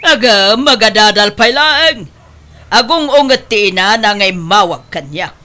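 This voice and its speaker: Proud status-minded mom in a radio drama, sharp voice, slow dragged-out words, very emotive. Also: female voice, medium pitch, animated. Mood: scolding, indignant. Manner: emotive, exclamatory, drawn-out delivery. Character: proud, status-conscious middle-aged mother, scolding and boasting. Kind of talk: Ilocano audio drama acting.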